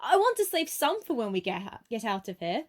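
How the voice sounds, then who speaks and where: A woman's voice: on the high side, sing-song, a little fast. A woman playing a self-absorbed, evasive young social media addict with a defensive, petulant manner in an amateur radio play.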